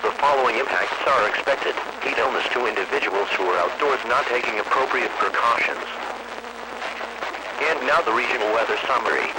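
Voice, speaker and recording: A synthetic-sounding man reads a formal weather bulletin in a measured, impersonal way as an automated announcer heard over radio. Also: mid-range voice. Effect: radio broadcast reception. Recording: very noisy weather-band radio reception.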